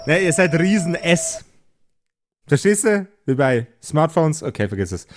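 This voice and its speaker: Slow-talking, higher-voiced man as game master. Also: sing-song. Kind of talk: improvised tabletop game narration.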